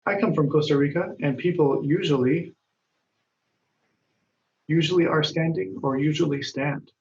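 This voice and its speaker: Patient male english teacher, roomy far-off sound. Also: fairly high pitch. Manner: patient, deliberate, lifts his voice when reading quoted lines. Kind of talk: slow, clear grammar lesson, reading example dialogues. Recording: dull, roomy sound from a distance.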